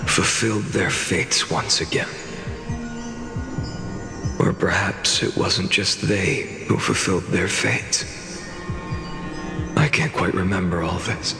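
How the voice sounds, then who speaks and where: A world-weary, resigned game character with a low, gravelly voice. He speaks very slowly and solemnly, in a long reverb over clear music. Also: full character voice. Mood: somber narration. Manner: slow, solemn, measured. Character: weary, resigned man hardened by countless repeated cycles. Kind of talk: dramatic video game acting.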